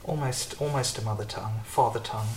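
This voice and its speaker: A man's voice: lowish voice, quick pace, rough recording. A man hosting a podcast, chatting casually with a guest in a home kitchen and talking with many fillers and restarts. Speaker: podcast host. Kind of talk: casual conversational interview.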